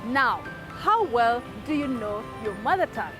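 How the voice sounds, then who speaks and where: High-voiced woman presenter. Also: big pitch swings. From Kenya. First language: Luo. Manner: clear and measured, explaining proverbs. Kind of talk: video presenter. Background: music.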